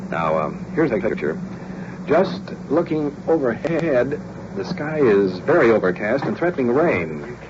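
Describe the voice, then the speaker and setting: An American man narrating an on-the-scene 1950s radio documentary as a police recorder, speaking deliberately and unscripted. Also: medium pitch, sing-song. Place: on location in police station and outdoors. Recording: dull vintage radio recording, crowd noise.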